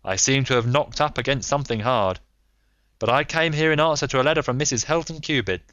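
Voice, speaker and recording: Male reader doing a hot-tempered American outlaw, fierce and pleading, a bit high and loud. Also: fairly quick, no room sound, highs cut off, lightly acted. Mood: defiant. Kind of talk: audiobook character voice.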